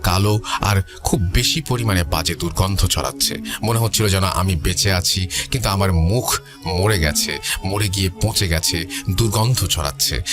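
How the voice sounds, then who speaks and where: Deep-voiced man narrating over music. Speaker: horror story narrator. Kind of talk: scripted ghost story narration with acted quotes. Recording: music bed under the voice. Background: background music.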